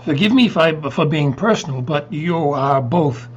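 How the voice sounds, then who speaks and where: A man's voice: a little high, expressive, normal speed, close to an everyday voice. A man playing a timid, polite funeral director in a comic readers theater piece, stammering and hesitant with flustered courtesy. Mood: nervously polite. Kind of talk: comedic readers theater acting. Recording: dull muffled audio with faint hum.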